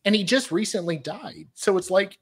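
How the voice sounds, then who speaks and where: A woman's voice: mid-range voice, animated, normal speed, slightly rough. A woman co-hosting with casual, sarcastic comic banter and dramatic reading. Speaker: co-host.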